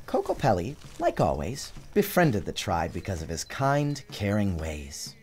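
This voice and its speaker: A man giving a dramatic, expressive narration of a storybook, theatrical in his phrasing. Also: on the low side, a little slow. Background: music.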